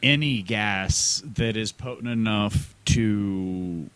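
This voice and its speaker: A man's voice: lowish voice, slow pace, a bit bright, clean tone, no room sound. A man co-hosting a radio talk show, chiming in with casual banter and interjections. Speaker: co-host and sound tech.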